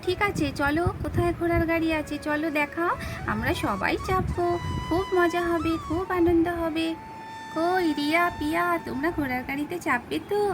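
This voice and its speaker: Indulgent dad doll voiced by a woman, high voice, coaxing, instructing, children's toy story with music. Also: moderate pace. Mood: obliging. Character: indulgent young father doll, hurrying children along.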